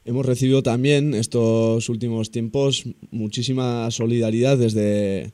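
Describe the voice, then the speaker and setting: A man's voice: mid-range voice, steady tone, unhurried, warm tone, no room sound. A man with an accent from Spain, asking conversational questions as an interviewer. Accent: Spanish from Spain.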